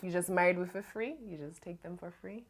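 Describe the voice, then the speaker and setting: A woman's voice: on the low side, brisk. A woman from Jamaica with a Jamaican accent, chatting casually as she hosts a quiz. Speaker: quiz host on a family vlog. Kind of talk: casual couples quiz chat.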